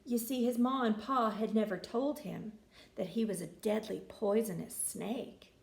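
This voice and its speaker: A woman reading a children's picture book aloud with expressive, folksy inflection. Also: a little high, unhurried, vocal fry, reverberant. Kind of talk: animated children's story reading.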